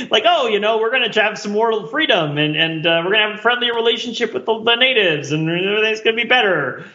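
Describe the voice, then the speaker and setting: Bright, high-pitched man thinking aloud. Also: expressive, quick pace, dull audio. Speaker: podcast host. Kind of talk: casual panel discussion.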